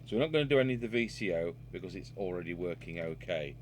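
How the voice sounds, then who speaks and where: A man with a fairly low voice talks through a hands-on repair job. There is some background noise and hum behind him. He speaks off the cuff, thinking aloud as he works. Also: expressive, fast talker, a little mellow. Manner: unscripted, musing aloud while working. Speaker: radio repair hobbyist and video presenter. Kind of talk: casual hands-on repair demonstration.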